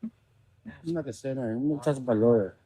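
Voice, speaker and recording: A man's voice: mid-range voice, very fast, mellow, no room sound. A man from Thailand being questioned, answering briefly and informally.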